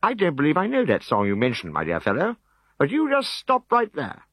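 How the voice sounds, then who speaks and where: A man's voice: a little high, huge pitch swings, a little fast, fairly bright tone, slightly rough, no room sound, pitched above the performer's own voice, heavily acted. A man voicing a vain, cheerful bard who delights in himself, in a storybook reading. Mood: delighted. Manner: theatrical, self-delighted.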